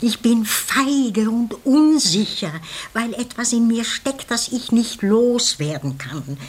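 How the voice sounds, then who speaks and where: An actress playing a disillusioned, defiant mother in a classic radio play, speaking with deliberate, ironic intensity. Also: on the high side, lively intonation. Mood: confessional, defiant. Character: disillusioned, defiant widowed mother, bitterly candid.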